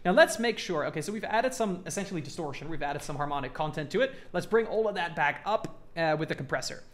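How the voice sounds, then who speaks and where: A man's voice: on the high side, animated, fast, fairly bright tone. A man giving a casual tutorial as a music production instructor, informally thinking aloud as he demonstrates.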